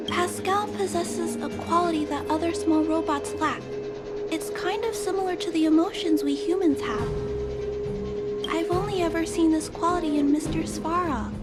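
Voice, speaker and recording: Earnest young girl for a game, very high, gentle yet resolute, music behind.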